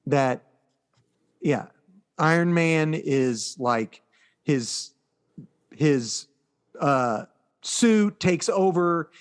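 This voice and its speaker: A man's voice: a little high, lively intonation, very slow, pauses often, clean tone. A man co-hosting a podcast, recapping things in a casual, explanatory and informal way. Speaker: podcast co-host. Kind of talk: casual chat, recapping comic books.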